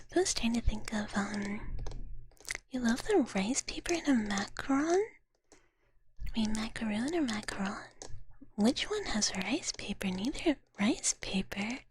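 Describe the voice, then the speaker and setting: A woman speaks in a soft, breathy whisper, close to the microphone, as an ASMR streamer chatting casually. Her delivery is cutesy and girlish, pitched a little high. A bit of noise and small clicks sit behind the voice. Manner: girlish cutesy talk, refers to herself in third person.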